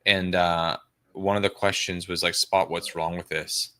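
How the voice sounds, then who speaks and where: Deep-voiced man bantering casually, bright edge. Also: flat delivery, measured pace. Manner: slangy, swearing. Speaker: co-host of a movie watch party. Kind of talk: casual joking banter with viewers.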